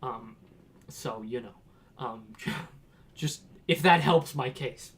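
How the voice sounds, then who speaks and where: A man's voice: mid-range voice, slow. A young man from Washington telling a casual, rambling story, laughing and acting out quotes. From Washington, United States. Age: young adult. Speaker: vlogger.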